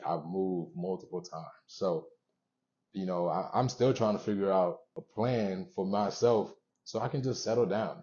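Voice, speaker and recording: A young man hosting a motivational show, speaking to viewers in an earnest, conversational way with pauses between short phrases, on a dull recording. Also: deep voice, mellow. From born in Haiti, moved around the US. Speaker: show host.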